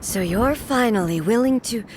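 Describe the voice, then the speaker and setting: Stern warrior goddess, woman voicing, slow and formal, slightly high voice. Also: animated, slight background noise, lightly acted. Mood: grave. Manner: measured, formal, grave. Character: solemn goddess ruler, stern and resolute. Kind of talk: game dialogue.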